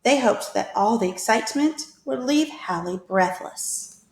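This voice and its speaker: Woman, high voice, picture-book narration, expressive, deliberate delivery, roomy echo. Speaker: teacher reading aloud. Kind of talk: children's picture-book read-aloud. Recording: echoey room.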